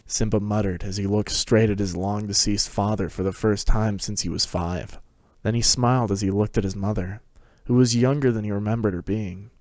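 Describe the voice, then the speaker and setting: A man's voice: fairly low pitch, steady tone, moderate pace, a little mellow, no room sound. A man giving a scripted dramatic reading of a fantasy story as narrator. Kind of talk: dramatic reading of fan fiction.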